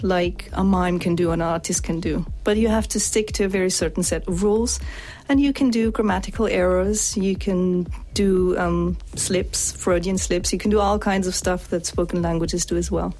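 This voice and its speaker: A woman linguist answering interview questions in a steady, explanatory way. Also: mid-range voice.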